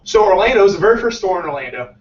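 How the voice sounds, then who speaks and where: A man in his late twenties tells his startup story to a packed room and acts out each exchange with a lot of bounce. His voice sits high for a man and is caught from a distance, roomy and a little dull, with faint room hum behind him. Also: rapid-fire. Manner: animated, acts out dialogue, laughs often. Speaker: startup founder giving a talk. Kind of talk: casual storytelling talk to a small audience. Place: small crowded meeting room, indoors. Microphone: far camera, no visible mic.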